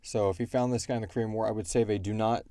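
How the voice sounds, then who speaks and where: A man's voice: on the low side, fairly even intonation, rapid-fire, mellow. A man chatting casually as he explains story lore in a rambling way, like a creator answering listeners. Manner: rambling, quotes characters in brief asides.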